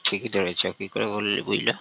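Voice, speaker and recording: A man with a gravelly voice chatting casually and intimately, in a complaining, coaxing way, over a tinny phone line. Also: lowish voice, fairly even intonation, brisk.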